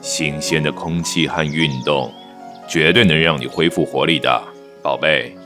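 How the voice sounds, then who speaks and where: A man's voice: very deep voice, steady tone, measured pace, bright, forceful tone, soft background music, heavily acted. A man playing a controlling young nineteenth-century husband in a Mandarin radio drama, hearty, self-assured and patronizing.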